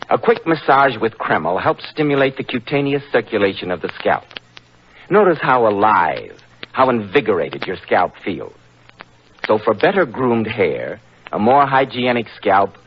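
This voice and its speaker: An American man sells like a polished 1940s radio announcer, his medium-pitched voice rising and falling emphatically. The old recording is dull, with faint noise behind him.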